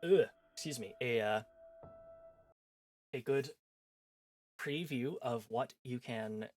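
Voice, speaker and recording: A sleepy game streamer with a mid-range voice talks casually to the audience. Soft music plays behind the chat. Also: medium pitch, slow. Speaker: streamer, host. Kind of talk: casual livestream sign-off chat. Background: background music.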